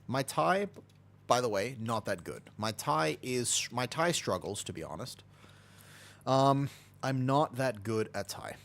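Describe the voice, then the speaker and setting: A man's voice: mid-range voice, lively intonation, unhurried, creaky, fairly bright tone. An American man streaming a game, chatting casually and rambling as he answers chat and laughs easily. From United States.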